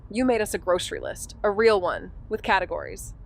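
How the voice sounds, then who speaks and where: An actress playing a blunt, teasing young amateur sleuth in an audio drama, casual and insistent in a mid-range voice. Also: female voice, medium pitch, big pitch swings, brisk, creaky. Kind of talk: scripted audio drama dialogue and narration.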